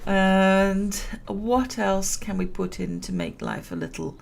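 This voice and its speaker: Gravelly, slow-talking woman gaming. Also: mid-range voice, wildly swooping pitch, slight background noise. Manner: chatty, self-amused, laughs at own jokes. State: losing her voice. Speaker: gameplay commentator. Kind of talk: relaxed let's-play commentary.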